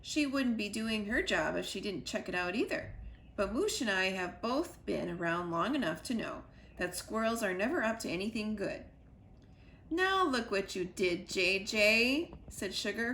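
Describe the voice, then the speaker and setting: A woman librarian reading a children's chapter book aloud, lively, jumping up in pitch for the characters. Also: normal speed, roomy sound, slight background noise. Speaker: school librarian. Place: school library.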